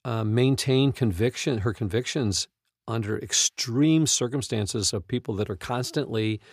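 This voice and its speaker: A man hosting a podcast in casual studio chat, speaking in a halting, earnest and enthusiastic way. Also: medium pitch, no echo. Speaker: podcast host. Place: recording studio.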